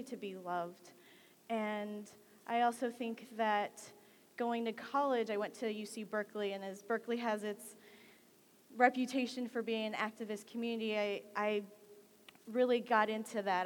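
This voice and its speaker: Young woman, from California, guest speaker, earnest and measured, slightly high voice, echoing hall. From Central Valley, California, United States. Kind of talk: speech at a campus assembly. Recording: long hall reverb.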